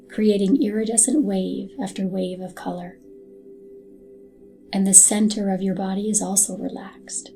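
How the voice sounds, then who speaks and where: A woman narrating a scripted hypnotic guided meditation in a soothing, soft and unhurried manner. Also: mid-range voice. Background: background music.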